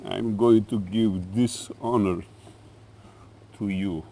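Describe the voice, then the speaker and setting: Slow, menacing Soviet dictator. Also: male voice, fairly low pitch, fairly even intonation, warm tone. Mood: solemn, grateful. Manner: slow, theatrical, deliberate. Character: menacing, paranoid middle-aged male Soviet dictator. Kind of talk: dramatic game cutscene acting.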